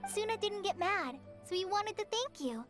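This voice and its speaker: A woman's voice: very high voice, lively intonation, normal speed, over music. A woman voicing an eager, earnest young girl in a video game, a little hesitant and unsure of herself.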